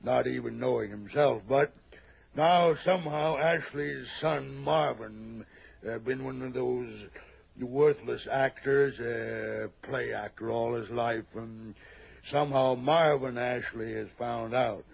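Wheezy, gravelly fat tycoon. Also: male voice, medium pitch, dull audio. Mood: uneasy. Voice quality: wheezy, labored breathing. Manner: halting, repeats himself, blustering. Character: gross, wheezing, frightened rich fat tycoon. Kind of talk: 1960s radio drama acting. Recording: band-limited old radio recording.

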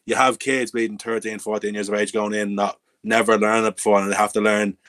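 A young Irish man co-hosting a casual podcast chat, excitable, with lots of "like" and swearing. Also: on the low side, a little fast, no room sound. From Ireland. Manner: excitable, swears, says "like" and "boys" a lot. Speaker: podcast co-host.

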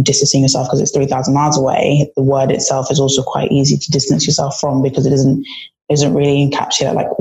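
Creaky, even-toned woman thinking aloud. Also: very deep voice, highs cut off. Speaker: panel participant. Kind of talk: reflective casual discussion.

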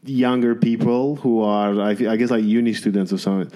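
A man's voice: mid-range voice, fairly even intonation, brisk, warm tone. A man from Romania, a podcast guest, talking casually and hesitantly, with many restarts. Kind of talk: casual chat.

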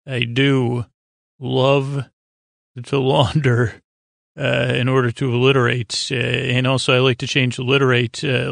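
A man with a creaky voice hosting a sleep podcast, talking in a halting, rambling, gently goofy way that drifts into repetition and wordplay. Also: mid-range voice, no room sound. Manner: meandering, halting, repeats and trails off into wordplay. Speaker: podcast host. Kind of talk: rambling bedtime talk to help listeners fall asleep.

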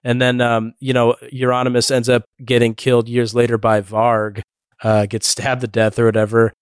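A man with a smooth, resonant voice at a middle pitch talks with a conversational looseness, and the sound is close and dry. He asks guests questions and sums up their points. He keeps the discussion moving. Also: completely flat delivery, normal speed, no room sound. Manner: conversational, leads with questions, recaps others' points. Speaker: podcast host. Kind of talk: casual discussion.

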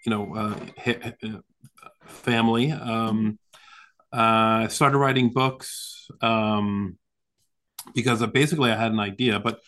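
A man explaining conversationally as an interview guest, with halting, hesitant phrasing. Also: lowish voice, steady tone, fairly bright tone. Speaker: guest, author.

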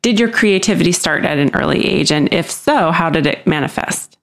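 A woman's voice: lowish voice, lively intonation, fairly quick, creaky. A woman co-hosting a podcast, reading the introduction and asking curious, friendly questions. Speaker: podcast co-host.

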